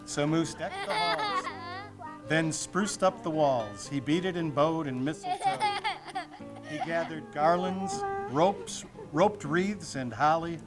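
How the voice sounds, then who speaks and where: A man's voice: a little high, huge pitch swings, slow. A man reading a children's storybook aloud in a playful, theatrical way. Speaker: storybook reader. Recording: music playing behind the voice.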